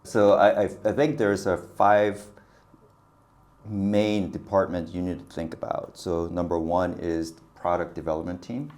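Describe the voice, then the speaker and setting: Man, very deep voice, animated storyteller, laughs often. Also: a little slow. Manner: animated, laughs often, acts out quoted dialogue. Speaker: guest, business consultant. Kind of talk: casual interview storytelling.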